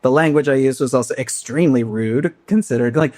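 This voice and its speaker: A man co-hosting a podcast, telling travel stories in a loose, animated way. His voice sits at an ordinary middle pitch, and he breaks into laughter as he talks. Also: fairly quick. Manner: animated storyteller, laughs often. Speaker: podcast co-host. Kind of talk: casual chat.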